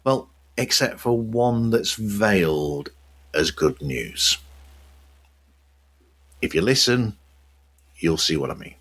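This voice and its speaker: Give the voice of a man presenting a podcast. He has a low-pitched voice and reads scripted news items and show notes in a narrating style. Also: lively intonation, takes it slowly, long pauses, fairly bright tone. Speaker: podcast host.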